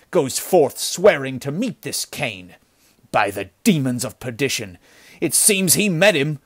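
A man voicing a frightened, malevolent rat-like bandit in an urgent, excitable way for an adventure story. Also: medium pitch, husky, no echo, clean studio sound, pitched above the performer's own voice, exaggerated voice. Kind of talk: dramatic storybook character acting.

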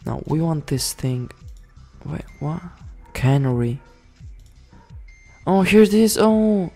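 A man giving casual commentary over a management game, excitable, swearing and yelling orders at his units. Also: on the high side, slow, creaky, soft background music. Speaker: gaming channel host.

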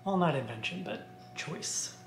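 A woman's voice: lowish voice, lively intonation, a little slow, reverberant. A woman in her thirties chatting casually as a vlog co-host. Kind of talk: casual chat. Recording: off-mic with loud music behind.

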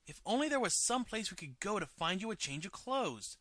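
A man's voice: sits high, very animated, fairly quick, a bit bright, exaggerated voice. A man voicing a dim-witted adult male police detective with a goofy, cheerfully clueless manner.

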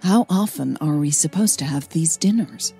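A woman's voice: sits low, expressive, moderate pace, dark tone, soft background music. A woman narrator voicing an awkward workaholic woman making polite conversation in an audio romance. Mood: trying again. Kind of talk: audio romance story dialogue.

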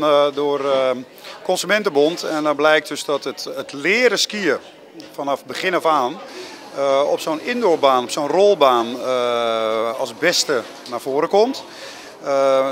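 A man managing a ski school, giving a promo interview in a halting way with many fillers. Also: on the high side, fairly bright tone.

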